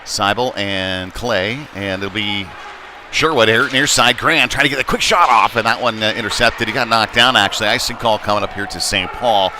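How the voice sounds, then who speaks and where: A man doing live play-by-play of a youth hockey game in a halting, folksy, conversational way. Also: on the low side. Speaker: play-by-play commentator. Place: indoor ice rink during a game. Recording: noisy arena, clear rink ambience behind.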